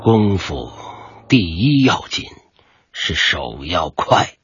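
An actor playing a stern, patient old Taoist kung fu master in a radio drama, husky and measured. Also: male voice, low voice, very animated, highs cut off, background noise, full character voice. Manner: measured, authoritative.

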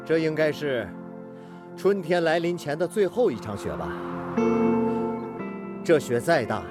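An actor playing a determined, good-natured factory master technician in a radio drama, earnest and full of sighing interjections. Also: male voice, on the high side, sing-song, a little slow, loud music behind the voice. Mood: proud, resolute.

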